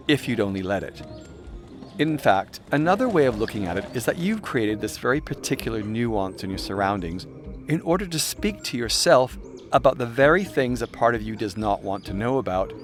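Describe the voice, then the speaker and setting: Wry male audiobook narrator over music. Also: medium pitch. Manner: wry, irreverent, conversational asides. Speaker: author reading own self-help audiobook. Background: music soundscape.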